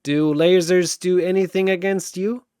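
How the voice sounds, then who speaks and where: A man's voice: sits high, fairly even intonation, unhurried, darkish tone, clear, resonant tone, no room sound, voice pushed up. A man voicing a plucky, unflappable male fox pilot in an action story, with a dry, sardonic manner. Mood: wry.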